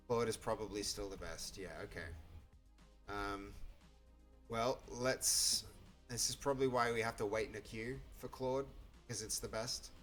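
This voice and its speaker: A man's voice: medium pitch, fairly bright tone. A man with a Dutch accent, a native Dutch speaker, thinking aloud as he codes live on stream, hesitant and full of restarts. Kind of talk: live coding commentary.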